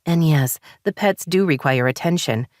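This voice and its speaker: A woman's voice: low voice, lively intonation, normal speed, no echo, clean studio sound. A woman voicing a hurt, frustrated married woman who presses her points insistently. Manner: heated, insistent.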